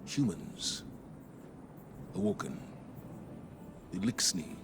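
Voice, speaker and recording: An actor playing a weary, solemn veteran military commander in a game cutscene, speaking in a grave, deliberate way. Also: male voice, sits low, expressive, over music, exaggerated voice. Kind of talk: dramatic game cutscene monologue.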